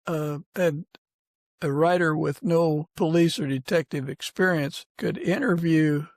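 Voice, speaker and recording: Male podcast interviewer, slightly high and creaky, conversational, recorded dry. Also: measured pace, no room sound.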